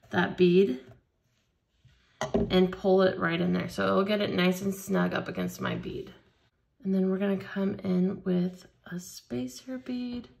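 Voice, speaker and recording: A woman leading a hands-on beading tutorial at a craft table, explaining each step slowly and patiently. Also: medium pitch, creaky, a little mellow. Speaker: craft instructor.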